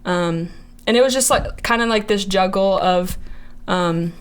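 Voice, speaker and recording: A woman's voice: mid-range voice, a little slow, smooth. A young woman in her early twenties from Montana, sharing a faith testimony in casual chat, hesitant with many fillers. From Montana, USA. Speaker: ministry intern.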